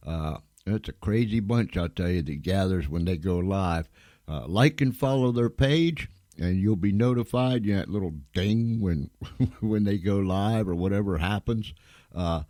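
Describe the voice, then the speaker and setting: A man hosting a radio show in a casual, folksy, rambling way, giving shout-outs and plugs. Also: fairly low pitch, no echo, studio quality.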